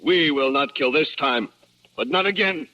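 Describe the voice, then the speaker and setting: A man's voice: on the high side, moderate pace, close to an everyday voice. An actor playing a stern, proud Apache father, suspicious of outsiders, speaking in stiff, commanding formal phrases for radio drama. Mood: stern, challenging. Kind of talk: 1950s radio drama acting. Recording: muffled, noisy old radio recording.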